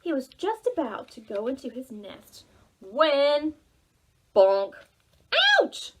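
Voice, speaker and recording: A woman with a high, lively voice tells a story to small children. She takes her time and draws words out in a sing-song way, with big swings in pitch. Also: husky. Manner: sing-song, drawn-out words with repeated refrains. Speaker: children's librarian leading storytime. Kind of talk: animated preschool storytelling.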